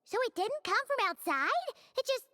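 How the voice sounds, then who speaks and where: A woman's voice: very high-pitched, very animated, normal speed, fairly bright tone, a little hiss or hum, full character voice. A woman voicing a tiny, chirpy, curious fairy companion who talks about herself in the third person. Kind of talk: video game voice acting.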